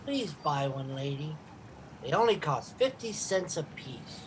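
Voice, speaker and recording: Little pleading street urchin, noisy recording. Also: male voice, on the high side, very animated, measured pace. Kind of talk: radio comedy acting.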